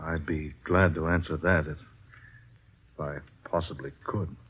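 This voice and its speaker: An actor playing an earnest, troubled writer searching for truth in a vintage radio drama, with formal, theatrical phrasing. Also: male voice, very deep voice, steady tone, slow pace, husky, exaggerated voice. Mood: resigned. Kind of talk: 1940s radio drama acting with narration. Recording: dull, muffled vintage radio recording.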